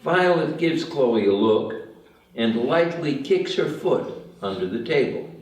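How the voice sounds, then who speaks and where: A man's voice: mid-range voice, expressive, measured pace, darkish tone. A man giving scripted narration of stage directions at a table read, in an echoey room. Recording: very echoey room, distant microphone.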